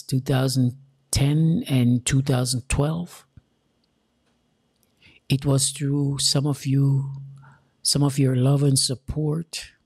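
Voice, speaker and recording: Jamaican guy talking real slow and earnest, kinda husky, dry close sound. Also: medium pitch, darkish tone, no room sound. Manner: slow, deliberate and earnest, with emphatic repetition. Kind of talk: motivational direct-to-camera talk.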